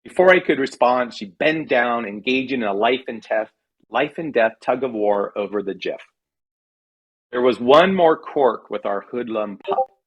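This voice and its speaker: American guy reading a funny story, wry and mock dramatic, husky voice, pitch goes up and down a lot. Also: animated, a little slow, lo-fi sound. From California, United States. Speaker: writer reading own comic essay. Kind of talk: humorous storytelling read aloud.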